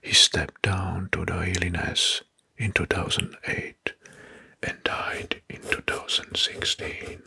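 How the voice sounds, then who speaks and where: Slow, breathy whispering man. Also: deep voice, monotone. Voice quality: breathy, mostly unvoiced whisper. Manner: slow, deliberate, repeats names and words softly. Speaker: ASMR narrator. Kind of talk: whispered ASMR narration of history facts.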